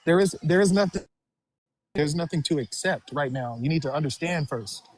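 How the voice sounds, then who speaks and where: Guy hosting a heated stream debate, talks over people, kinda high voice. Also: expressive, moderate pace, a crowd in the background. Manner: combative, interrupting, repeats "dude" and "bro". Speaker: livestream host.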